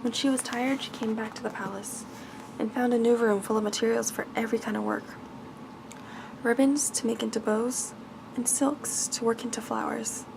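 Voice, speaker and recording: A woman's voice: a little high, fairly even intonation, normal speed, gravelly, background noise. A woman reading a bedtime fairy tale aloud as ASMR narration. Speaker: ASMR storyteller.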